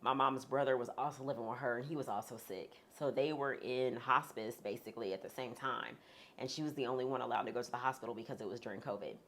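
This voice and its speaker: A woman speaking frankly as a guest in a sit-down interview, talking with humorous asides in a deep, husky voice. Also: quick pace, a bit bright, slight background noise. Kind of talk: candid sit-down conversation.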